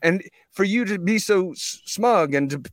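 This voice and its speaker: A man in a heated conversation, speaking earnestly and defensively, often halting and repeating himself as he makes his point. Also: sits high, expressive, no echo, clean studio sound. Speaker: podcast guest. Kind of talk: heated conversational storytelling and debate.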